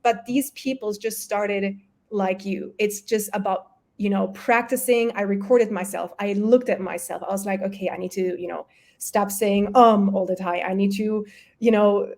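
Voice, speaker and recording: A woman's voice: medium pitch, normal speed, darkish tone. Chatty woman, native German and Polish speaker. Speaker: guest, health coach and entrepreneur. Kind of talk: casual interview talk.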